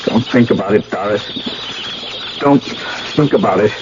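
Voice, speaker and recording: A man playing an intense, earnest research scientist and devoted lover in a 1970s radio drama, speaking in a deliberate, lecturing manner. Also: fairly low pitch, lightly acted. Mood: grim, urgent. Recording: muffled, very noisy old radio broadcast.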